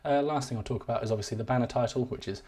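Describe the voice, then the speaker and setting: A man giving a live-streamed software walkthrough, rambling and self-deprecating, his voice wearing thin. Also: mid-range voice, racing, slightly rough. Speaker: software demo presenter.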